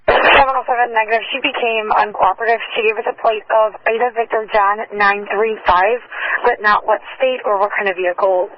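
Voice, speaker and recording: Fast-talking American woman dispatcher on police radio. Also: fairly high pitch, fairly even intonation. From United States. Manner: clipped, procedural, reciting numbers. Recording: muffled lo-fi police scanner radio.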